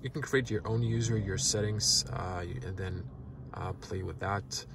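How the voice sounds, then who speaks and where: A man whose first language is Croatian, casually walking through a car's features in a relaxed, halting way from inside a parked car. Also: low voice, background noise. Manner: relaxed, halting, many fillers. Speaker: car reviewer. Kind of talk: casual product walkthrough.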